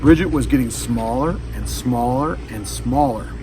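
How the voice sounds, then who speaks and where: A man reading a children's storybook aloud in an expressive, animated style. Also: medium pitch, moderate pace. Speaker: storybook reader. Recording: distant mic with faint background noise.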